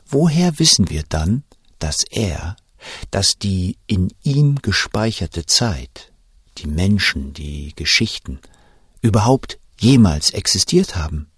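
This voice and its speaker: An actor playing a shaken German official who recalls a wartime night in measured, suspenseful first-person audio drama narration. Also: male voice, sits low, very animated, slightly rough, no room sound, studio quality, heavily acted. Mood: reflective, hushed.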